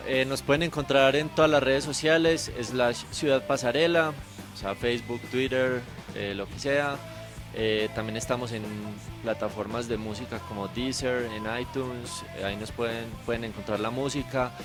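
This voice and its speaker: A man, speaking in a band interview and pausing with frequent fillers. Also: mid-range voice, fairly even intonation, over music. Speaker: band member. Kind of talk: casual interview answers.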